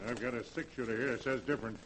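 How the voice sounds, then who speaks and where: An actor playing a blunt, suspicious country sheriff with a brusque, folksy way of talking, in 1940s radio-drama style. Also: male voice, medium pitch, steady tone, rapid-fire, a bit bright, a little hiss or hum, lightly acted, poor audio. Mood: gruff. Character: gruff rural male sheriff, blunt and suspicious.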